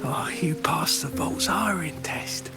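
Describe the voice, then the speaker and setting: A man playing a frail, weary but caring old man in a video game, halting and breathy, with a weak coughing delivery. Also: fairly high pitch, expressive, loud music behind the voice. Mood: weary, resigned. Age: older.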